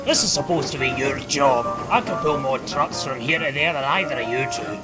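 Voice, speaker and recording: A man's voice: medium pitch, normal speed, natural voice. An actor playing a proud, grumbling male narrow-gauge steam engine, complaining indignantly in an audio drama. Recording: music under the voice.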